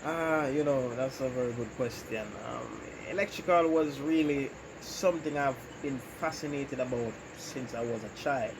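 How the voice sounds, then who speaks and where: A man with a Jamaican accent, an electrician who hosts his own channel, answering interview questions about his life in a casual, unhurried way with frequent ums and you-knows. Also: mid-range voice. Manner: unhurried, pauses often with "um" and "you know". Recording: noisy background.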